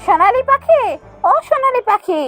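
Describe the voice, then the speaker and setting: A woman's voice: very high voice, animated, normal speed, a bit bright, soft background music. A woman voicing a greedy, impatient young village girl in a children's fairy tale cartoon. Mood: impatient, demanding.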